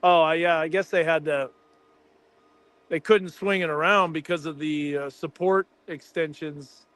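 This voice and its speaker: Enthusiastic American man, slightly high voice. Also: fairly even intonation, measured pace, a bit bright, no room sound. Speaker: livestream host. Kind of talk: casual live commentary chat.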